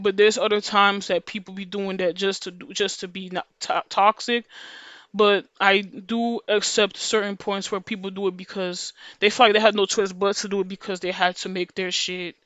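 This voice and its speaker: A woman with a deep voice for a woman, talking casually to her viewers in a rambling, slangy monologue that loops back on itself. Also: fairly bright tone, clear, resonant tone, no echo. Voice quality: voice deeper than usual for a woman. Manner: rambling, slangy, repeats and restarts phrases. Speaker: video creator.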